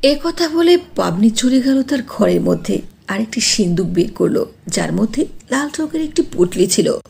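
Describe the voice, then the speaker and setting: A woman narrating a scripted cartoon fairy tale in an animated, expressive way. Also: a little high, moderate pace, gravelly, slightly rough.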